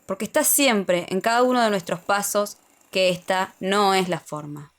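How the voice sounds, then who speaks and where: A woman's voice: medium pitch, animated, normal speed. Argentine woman reading an opinion essay. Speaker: podcast speaker. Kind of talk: scripted opinion essay read aloud.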